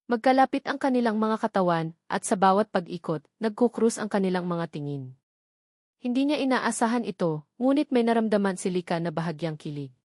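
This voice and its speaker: A woman's voice: mid-range voice, darkish tone, no echo, studio quality. A woman narrating a scripted dramatic story.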